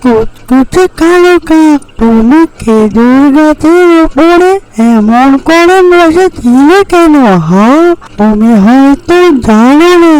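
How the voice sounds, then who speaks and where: A performer voicing a small, hopeful, hardworking bird in a fairy-tale cartoon, with drawn-out storytelling delivery. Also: high-pitched, animated, a little mellow, rough recording. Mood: reproachful. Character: Tuni, small hopeful hardworking bird guarding her date palms.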